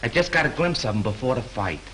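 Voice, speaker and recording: An actor playing a chatty young hotel bellhop who tells a story in breezy slang, in 1930s film acting. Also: male voice, medium pitch, expressive, fast, bright, forceful tone, rough recording. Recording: hissy 1930s film soundtrack.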